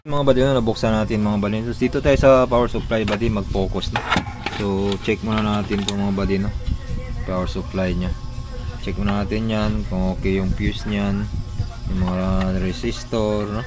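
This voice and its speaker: Low-pitched Filipino man, casual repair tutorial. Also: little pitch movement, moderate pace, loud music behind the voice. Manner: informal, talking through steps while working. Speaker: electronics repair tutorial host.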